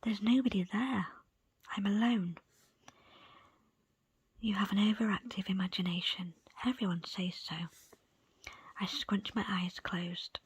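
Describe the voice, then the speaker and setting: Breathy woman whispering a story. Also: mid-range voice, no room sound. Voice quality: soft, breathy, unvoiced whisper. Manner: slow, deliberate, pausing between short phrases. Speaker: audiobook reader. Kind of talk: ASMR whispered book reading. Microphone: close, dry microphone.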